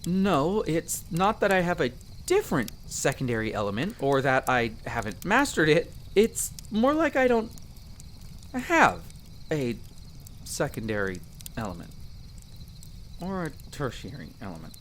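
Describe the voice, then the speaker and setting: A man playing a friendly, earnest young elf explorer in roleplay audio, explaining things chattily with hesitant pauses. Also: fairly high pitch, wildly swooping pitch, fairly bright tone, slight background noise, heavily acted. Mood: hesitant, confiding. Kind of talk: character roleplay audio addressed to the listener.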